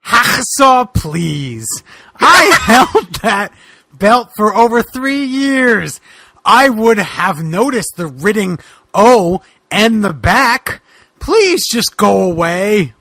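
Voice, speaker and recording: An exasperated boogeyman monster for a comic fan-fiction reading. The voice is high, sharp and full of gravel, melodramatic and despairing in its slow pleading. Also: very animated, no room sound, heavily acted.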